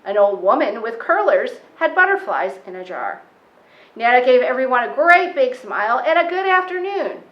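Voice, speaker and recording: Animated woman reading a children's picture book. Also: fairly high pitch, normal speed, reverberant, dull audio. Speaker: storytime reader. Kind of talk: expressive children's picture-book read-aloud.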